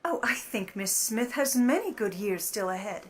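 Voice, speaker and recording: An actress playing a poised, mischievous 1950s starlet in a radio play, arch and teasing. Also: female voice, medium pitch, lively intonation, normal speed, close to an everyday voice. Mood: cool, affronted. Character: poised, mischievous Hollywood starlet and secret burglar.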